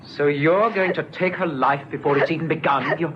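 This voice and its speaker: An actor playing a kindly, persuasive police officer in an old radio drama, earnest and coaxing, sometimes stammering. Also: male voice, on the high side, moderate pace, close to an everyday voice. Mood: earnest, pleading. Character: kindly, persuasive male police officer talking someone round. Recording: dull, noisy old radio recording.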